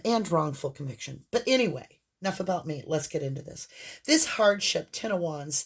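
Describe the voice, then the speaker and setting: A woman with a hoarse voice and a mixed Southern and Northern American accent, giving a casual, rambling tarot reading straight to the viewer. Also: low voice. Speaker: tarot reader.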